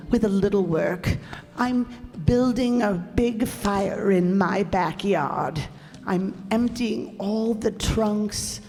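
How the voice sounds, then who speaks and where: A woman playing a wistful old widow in a radio play, halting and plaintive in manner. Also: mid-range voice, darkish tone. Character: wistful old widow clinging to her past. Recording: hall reverb, music bed.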